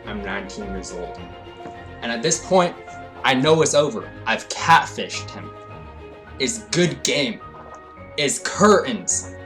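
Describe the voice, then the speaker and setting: A young man narrating a comedic storytime, swinging between hyped rants and low deadpan asides. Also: takes it slowly, long pauses, over music. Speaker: storytelling vlogger.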